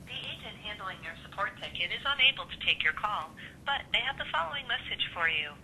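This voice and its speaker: A woman's voice: animated, fast talker, bright, forceful tone, a little hiss or hum, poor audio. A synthetic woman's voice reading formal scripted announcements for an automated phone support system. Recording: phone system played into a rumbling room.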